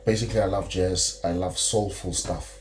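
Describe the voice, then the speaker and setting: A man's voice: low voice, fairly even intonation, normal speed, slight background noise. A man from Zimbabwe with a Zimbabwean accent, a musician guest answering easygoing, often restarting his sentences. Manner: easygoing, self-correcting, proud. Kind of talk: casual interview answers.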